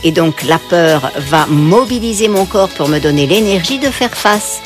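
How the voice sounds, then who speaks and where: Low, animated Parisian woman explaining. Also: normal speed, over music. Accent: Parisian French. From Paris, France. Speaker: psychotherapist guest. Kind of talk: explanatory interview answers.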